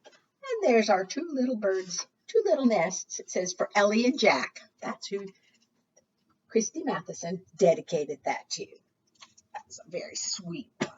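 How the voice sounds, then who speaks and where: A woman talks to preschoolers as a storytime librarian, her pitch swinging widely as she coaxes them with questions. She takes her time, and the sound is muffled, as from a microphone some way off. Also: big pitch swings, unhurried, slightly rough, distant mic. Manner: coaxing questions, exaggerated gasps and singsong refrains. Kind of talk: interactive storytime talk to small children.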